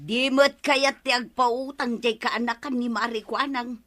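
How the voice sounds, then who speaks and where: A woman acting a nagging, sharp-tongued village wife in an Ilocano radio comedy, with scolding, emphatic delivery. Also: a little high, fairly even intonation, unhurried. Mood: bossy, gossipy.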